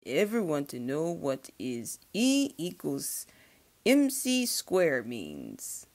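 A woman's voice: lowish voice, exaggerated voice. A woman voicing a brainy young male inventor in a cartoon demo, talking like a pedantic know-it-all.

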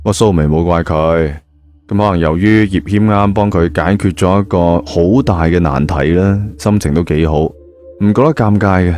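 A deep-voiced man tells a story in colloquial Cantonese. His tone is mellow and dark, and his delivery is that of an audio drama narrator. Music plays clearly behind him. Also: moderate pace. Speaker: audio drama narrator, also voicing the male lead. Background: background music.

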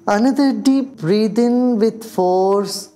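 An older man with an Indian accent leading a guided meditation, speaking slowly and soothingly with long pauses. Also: high voice, mellow. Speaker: meditation teacher. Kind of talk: guided meditation in English and Hindi. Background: background music.

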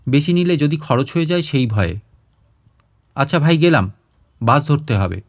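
A man's voice: a little high, animated, normal speed. A man voicing a glum, hard-up retired man who laments his lot in an audio storybook. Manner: glum, complaining.

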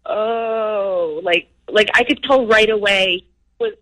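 A woman's voice: mid-range voice, slow pace, fairly bright tone, low-quality recording. A young adult woman caller telling a personal story casually, peppering it with "like". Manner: conversational, frequent "like".

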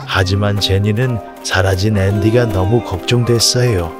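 A man telling a scripted animal story for children in an animated, expressive way. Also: lowish voice, normal speed. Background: music.

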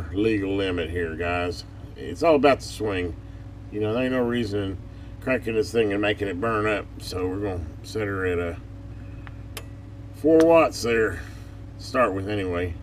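A man giving a casual, folksy hands-on bench demonstration as host of an electronics repair channel. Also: lowish voice. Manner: folksy, informal talk. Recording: distant mic, noisy with loud hum.

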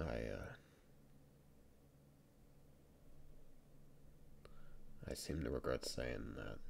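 A man playing a shy young best friend in an ASMR boyfriend roleplay, speaking softly and haltingly with stammers, in a very deep, gravelly voice. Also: a little hiss or hum, full character voice. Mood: nervous, apologetic. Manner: hesitant, halting, stammering, soft-spoken.

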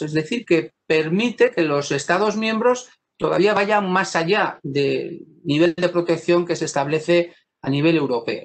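A man from Spain giving an academic law lecture over a video call, pausing often and restarting his sentences. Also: high voice. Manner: thoughtful, many pauses, fillers and restarts.

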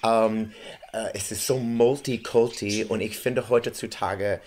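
American man speaking halting German. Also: on the low side, slight background noise. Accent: American English. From United States. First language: English. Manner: animated, gesturing, mixes English words into halting German. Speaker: guest singer. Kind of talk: casual interview chat. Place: small indoor room, seated on a sofa.

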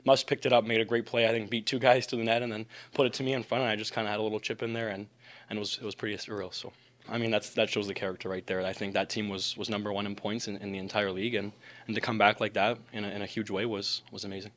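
Young male hockey player, rapid-fire delivery, fairly low voice, post-game interview. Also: little pitch movement, fairly bright tone. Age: young adult. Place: indoor media backdrop at a rink. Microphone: microphone on a stand in front.

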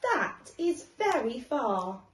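A woman voicing a big, gentle, playful adult male hare from a storybook, tender and expressive. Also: sits high, takes it slowly, roomy sound, distant mic, heavily acted.

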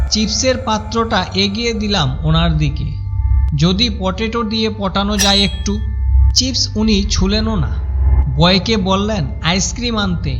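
A man with a high-pitched voice narrates a cartoon ghost story in the first person, wry and chatty. Soft music plays under his voice.

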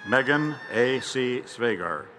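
Male ceremony announcer, bright voice with lively pitch, formal name reading, faint stadium ambience behind. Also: a little hiss or hum. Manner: clear, measured announcing of each name and field. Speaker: commencement name reader. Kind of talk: formal reading of graduate names. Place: large outdoor stadium over a PA system.

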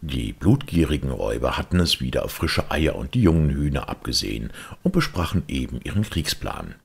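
A man reading a children's story as an audiobook narrator in an expressive, scripted style. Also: rumbling low, a little fast, gravelly, slightly rough.

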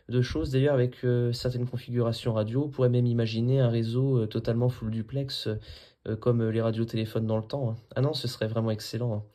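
Man, mellow mid-pitched voice, informal live demo by a radio amateur. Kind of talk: casual radio chat and live demonstration. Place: small indoor room at a desk.

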